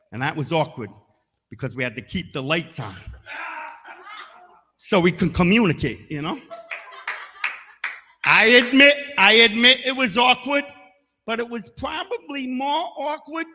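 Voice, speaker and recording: High, bright-voiced middle-aged male comic. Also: long reverb tail, slight background noise. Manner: punchy, deadpan asides, bursts into loud shouted singing. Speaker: stand-up comedian. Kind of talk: stand-up comedy set. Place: comedy stage.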